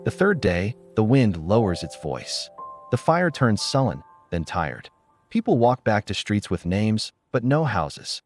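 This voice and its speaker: A man reading a literary story in a measured, solemn manner, as a scripted narrator. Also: fairly low pitch, big pitch swings, faint music behind.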